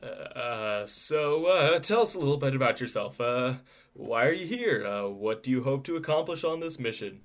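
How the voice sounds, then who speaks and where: A man's voice: mid-range voice, animated, moderate pace, natural voice. A man playing a polite, slightly flustered news reporter in an audio drama. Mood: hesitant, polite.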